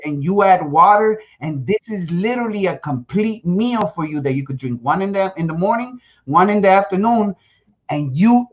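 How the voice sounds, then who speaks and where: A man's voice: sits high, lo-fi sound. A man from Guatemala hosting a casual survival-prep livestream, boastful and joking, often stumbling over his words as he talks to viewers. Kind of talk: casual live-stream chat to viewers.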